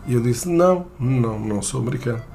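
A man from Portugal with a European Portuguese accent, telling a personal anecdote in a halting way, with many fillers and restarts. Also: mid-range voice, expressive, vocal fry, mellow, faint music behind.

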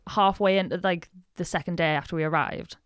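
A woman's voice: on the low side, steady tone, a little fast, clean tone, no echo. Young woman, sick with a cold, giggly, self-deprecating, dry close mic. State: ill with a cold. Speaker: podcast co-host. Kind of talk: casual chat.

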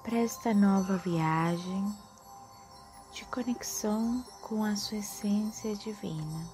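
Woman, meditation guide, very slow pace, soft breathy delivery, music behind. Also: medium pitch.

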